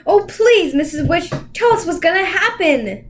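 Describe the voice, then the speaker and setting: A worried, impatient young girl from a children's storybook, read by a woman. Her high voice has an anxious, pleading edge. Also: lively intonation, moderate pace, slight background noise.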